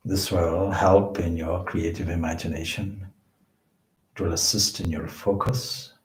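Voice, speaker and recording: A man leading a guided meditation as a spiritual teacher, speaking in measured short phrases with pauses between them. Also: low voice, flat delivery. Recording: echoey room.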